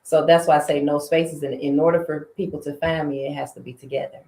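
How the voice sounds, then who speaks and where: Woman from Illinois, singer guest, low voice, animated and chatty, echoey room. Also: fast, a little hiss or hum. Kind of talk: casual interview. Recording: roomy studio sound.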